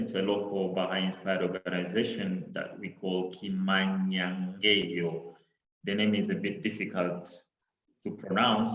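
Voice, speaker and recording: A middle-aged man from Congo with a Congolese accent, his first languages Kiswahili and French, giving an online talk in a halting way full of repeats and "you know". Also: sits low, a bit bright. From Democratic Republic of Congo. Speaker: presenter. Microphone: laptop on a video call. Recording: echoey, dull laptop audio.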